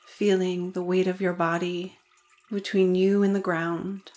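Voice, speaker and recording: A woman leading a guided meditation in a soothing, deliberate manner with gentle pauses. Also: on the low side, little pitch movement.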